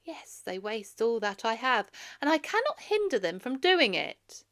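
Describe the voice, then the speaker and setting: An earnest, grieving young prince read by a woman addresses an assembly in a storybook. The delivery is emphatic and oratorical, bright in tone, with pitch that moves a great deal. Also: big pitch swings, unhurried. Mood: distressed, pleading.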